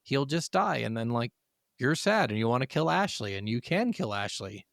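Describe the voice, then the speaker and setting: A man's voice: mid-range voice, big pitch swings, normal speed, vocal fry, a bit bright, no room sound, clean studio sound. A man co-hosting a gaming podcast, telling stories informally and swearing freely. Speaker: podcast co-host. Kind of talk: casual gaming podcast chat.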